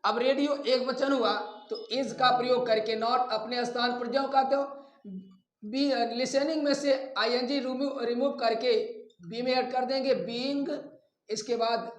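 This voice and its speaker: A man teaching a grammar lesson step by step, deliberately dictating and stretching out repeated examples. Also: very high voice, fairly even intonation. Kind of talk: classroom lesson, step-by-step explanation. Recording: echoey room.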